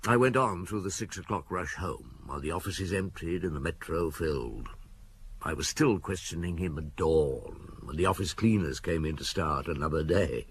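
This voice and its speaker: An actor playing a seasoned French police chief inspector in a radio drama, patient and dogged, speaking in a measured, probing, official way with thoughtful hums. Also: male voice, deep voice, animated, a little hiss or hum. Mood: reflective. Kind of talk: radio drama acting, reminiscing and interrogating.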